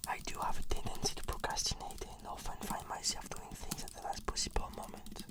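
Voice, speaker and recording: A woman whispering measured, articulate, scripted answers as the client in an ASMR roleplay. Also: a bit bright, no room sound.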